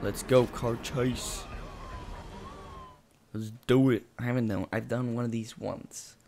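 A man casually commenting as he plays a video game, chatty and talking himself through each move, his voice gravelly after just waking up. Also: medium pitch, steady tone, takes it slowly, darkish tone, a little hiss or hum. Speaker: gameplay commentator. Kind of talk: casual let's-play commentary. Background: game music and sound effects.

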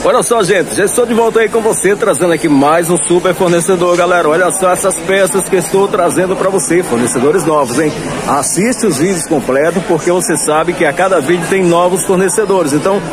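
High-voiced salesy man in a crowd. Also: fairly quick. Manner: upbeat salesy patter, repeats prices. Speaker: clothing wholesale vlogger. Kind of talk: market walk-through sales vlog. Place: busy indoor clothing market. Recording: noisy crowded market. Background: crowd chatter.

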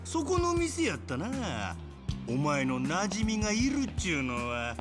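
An actor playing a menacing, manic yakuza brawler in a video game, with a Kansai accent and a drawling, mocking delivery that veers between quiet threat and shouting. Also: male voice, a little high, huge pitch swings, measured pace. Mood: sly, casual menace. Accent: Kansai Japanese. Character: menacing, manic adult male yakuza brawler, gleefully taunting. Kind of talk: dramatic game cutscene acting. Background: background music.

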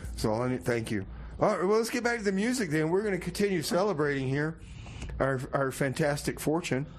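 Slightly high-voiced male radio co-host. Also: animated, brisk, slight background noise. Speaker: radio music show co-host. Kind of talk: casual comedic banter and song back-announcing.

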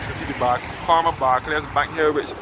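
A man's voice: on the high side, steady tone, fairly quick. A man giving a rambling walking-tour commentary outdoors by a harbour, often correcting himself. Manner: rambling, self-correcting guide. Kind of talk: casual walking-tour narration. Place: outdoors on a sunny harbour boardwalk. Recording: windy outdoor recording, muffled.

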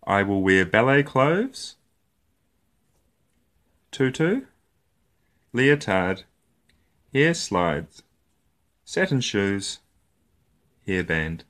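A man with a fairly low voice reads a storybook to little kids. He takes his time over each short phrase, and his pitch moves around in a lively, playful way. The delivery is that of an animated storyteller. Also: drawn-out words, long pauses. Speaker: storyteller reading children's picture books. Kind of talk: animated read-aloud storytelling for young children.

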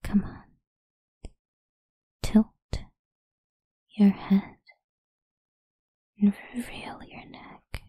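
A woman playing a possessive, seductive goth vampire idol in an intimate, breathy ASMR whisper, close to the microphone. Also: medium pitch, flat delivery, very slow, pauses often, mellow, dry recording. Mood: adoring.

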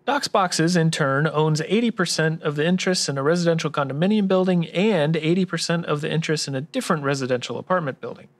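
A man's voice: fairly high pitch, fast, few pauses, lightly acted. A man playing an obliging tax explainer sidekick, giving orderly scripted explanations in a radio drama. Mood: helpful. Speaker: tax expert co-host.

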